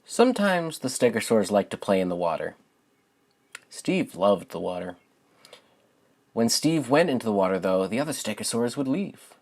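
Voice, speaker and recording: A man's voice: on the low side, sing-song, normal speed, pauses often, darkish tone. A young man who hosts a channel and reads his own writing aloud for viewers. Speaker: channel host and children's book author. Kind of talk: casual spoken introduction to viewers.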